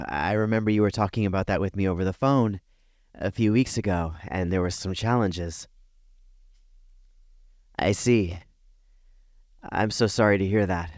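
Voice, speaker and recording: A man playing a tender, nervous best friend confessing a crush, speaking softly, hesitantly and earnestly in an intimate ASMR roleplay. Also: on the low side, no room sound, studio quality, lightly acted. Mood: tender, admiring. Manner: soft-spoken, hesitant, earnest.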